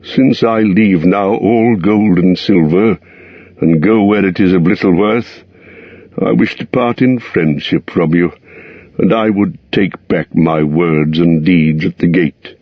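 A man portrays a wounded dwarf king near death in a storybook reading. He speaks slowly and solemnly, his husky voice softened by a dull, lo-fi recording. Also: low-pitched, dry recording. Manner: slow, solemn farewell tone. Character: dying male dwarf king, proud and remorseful.

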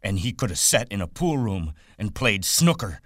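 A man voicing a sharp-tongued old aunt who nags and scolds in coarse country talk. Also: fairly low pitch, sing-song, normal speed, no echo, studio quality, voice pushed up. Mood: scolding.

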